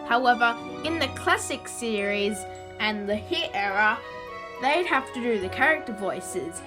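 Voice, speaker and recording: A video host giving informal, opinionated commentary in a casual style. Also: high voice.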